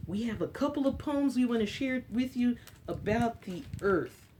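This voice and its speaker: A woman's voice: fairly high pitch, expressive, normal speed, darkish tone, slight background noise. An American woman hosting a children's story time, speaking in a teacherly way with simple short phrases and questions to her listeners. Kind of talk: story time talk and read-aloud for children.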